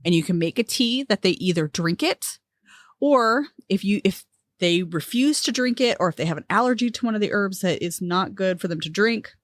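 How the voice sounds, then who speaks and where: A woman's voice: medium pitch, expressive, normal speed, dry recording. A woman hosting a podcast on witchcraft, talking casually and with enthusiasm. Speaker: podcast host. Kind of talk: casual chat and ad read.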